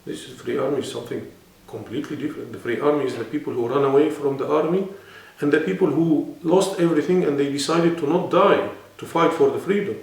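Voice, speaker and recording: A man's voice: on the high side, fast talker, vocal fry, few pauses, a little mellow. A man with an Arabic accent, speaking non-native English and explaining earnestly as a guest in a serious interview. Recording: echoey room, mic at a distance.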